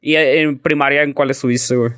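A man's voice: medium pitch, fairly even intonation, brisk, a bit bright, clean tone. A man, hosting a podcast and asking questions in a slangy, casual way with free swearing. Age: 30s. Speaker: podcast host. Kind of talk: casual interview.

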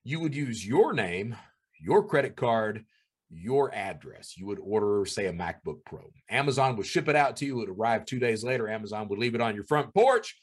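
A man telling crime stories in a casual monologue, acting out the people he quotes in a high voice. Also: big pitch swings, normal speed, slightly rough. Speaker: former cybercriminal host. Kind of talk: storytelling monologue.

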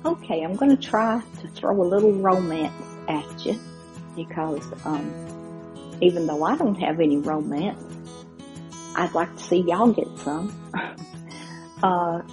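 Slow, low-voiced woman, folksy host. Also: over music. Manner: informal, folksy. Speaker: podcast host. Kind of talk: casual chat introducing old radio shows.

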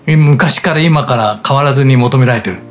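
A man's voice: medium pitch, normal speed. An older man in casual conversation as a radio drama writer and director, acting out quoted lines as he talks. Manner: animated, acts out quoted lines. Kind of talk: casual chat.

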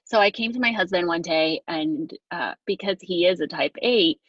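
A woman's voice: fairly low pitch, moderate pace, a bit bright, no room sound, highs cut off. A woman in her thirties from Venezuela, a podcast guest telling her story casually with plenty of fillers and restarts. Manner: chatty, many fillers and restarts. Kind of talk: casual conversation, personal story.

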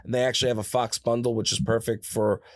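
Rambling animated man, dry close studio mic. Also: quick pace, no echo. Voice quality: higher-pitched natural voice. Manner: rambling, animated storyteller, repeats himself. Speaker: voice actor guest. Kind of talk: casual interview chat. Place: his own studio. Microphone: studio microphone, close.

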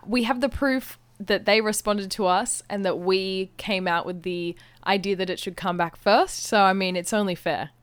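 A woman with an Australian accent co-hosting a podcast in casual, comedic chat, giggly and expressive in her reactions. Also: medium pitch, normal speed, hardly any pauses, clean tone, no echo. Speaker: podcast co-host.

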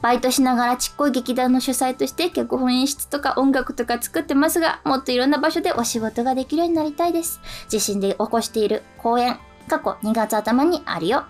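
A woman's voice: high-pitched, fast, hardly any pauses. A woman co-hosting a radio show, chatting casually and reading listener mail aloud. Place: radio studio. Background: music.

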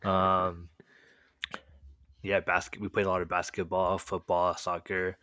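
A young man with a very low voice tells his childhood story in a loose, rambling way, peppering it with "like" and "um". He grew up speaking Korean first. His voice sounds dry and close, with no room around it. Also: measured pace, no echo. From United States. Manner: relaxed, rambling, heavy use of "like" and "um" fillers. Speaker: podcast guest telling his life story. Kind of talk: casual storytelling chat.

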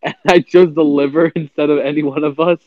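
Podcast co-host, male, high voice, dry and dull recording, laughs a lot, incredulous. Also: fairly even intonation, a little fast, dry recording. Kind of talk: improvised comedy banter.

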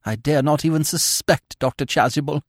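A man's voice: a little high, moderate pace, dry recording, clean studio sound, voice pushed up. A man voicing an imperious, haughty aristocratic older woman in a storybook reading.

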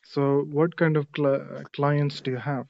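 Male interviewer, flat delivery, short prompts; dull, dry recording. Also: on the high side, unhurried, gravelly, no echo. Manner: flat, brief prompts with fillers. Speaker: podcast host, interviewer. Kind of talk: casual interview.

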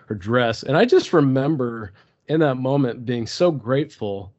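A man from Turkey, a podcast guest telling a personal story slowly and thoughtfully, often restarting his sentences. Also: animated, a little mellow. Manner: slow, thoughtful, many restarts. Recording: dull low-fidelity podcast audio.

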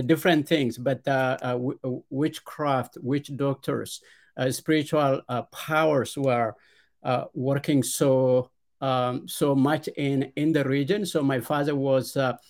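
A man's voice: mid-range voice, a little mellow. Ethiopian-accented man telling his story. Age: middle-aged. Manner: hesitant, frequent "uh" pauses and repeated starts. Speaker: podcast guest. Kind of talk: conversational storytelling.

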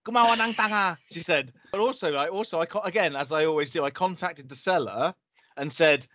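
A man's voice: a little high, a little fast, fairly bright tone, no echo. Rambling man on a podcast. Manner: rambling storyteller, many restarts and fillers. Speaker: podcast co-host. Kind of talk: casual chat.

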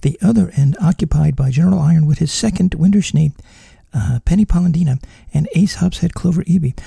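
A man voicing a serious male field reporter who describes a tense meeting in a hushed, careful way. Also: on the high side, a little fast, creaky, breathy edge, dry recording, studio quality, exaggerated voice. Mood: grave. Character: measured, serious male reporter outside a mansion.

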